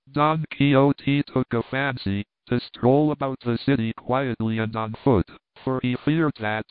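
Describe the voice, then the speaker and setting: A man reading a classic novel aloud as narrator, in a measured, even way. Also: mid-range voice, smooth, no echo. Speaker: audiobook narrator. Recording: tinny phone-like sound, dry.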